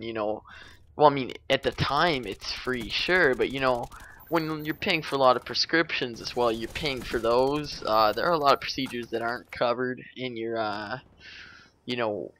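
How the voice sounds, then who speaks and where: A man's voice: mid-range voice, sharp tone. A young adult man from Canada giving casual, rambling commentary over gameplay, chatty and full of fillers and restarts. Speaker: gaming commentator.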